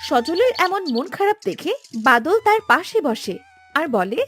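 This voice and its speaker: A woman's voice: high voice, very animated, unhurried, fairly bright tone, faint music behind. A woman narrating a scripted fairy tale as a storyteller.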